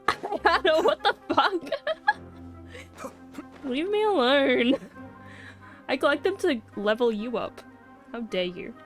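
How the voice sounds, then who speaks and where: A woman's voice: high-pitched, very animated, slow, long pauses. A woman livestreaming a video game with casual, playful, reactive commentary, swearing freely and muttering asides. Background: faint game music.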